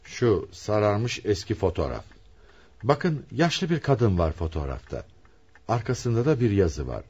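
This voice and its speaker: A shrewd police commissioner in a radio play. His voice is fairly low and slightly husky, with very animated, swooping intonation. He questions people deliberately, probing every detail. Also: heavily acted. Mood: probing, intrigued. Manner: probing, deliberate, theatrically inquisitive. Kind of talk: radio theatre acting.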